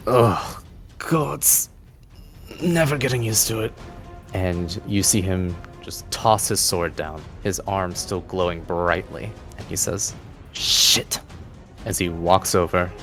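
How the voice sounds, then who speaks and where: A man's voice: low-pitched, fairly bright tone. A man narrating a tabletop fantasy game as game master, with dramatic, descriptive storytelling.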